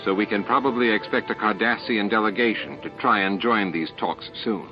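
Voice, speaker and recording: Man reading as a calm low-voiced starship captain. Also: moderate pace, music in the background, lightly acted. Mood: commanding. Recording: muffled, band-limited tape audio.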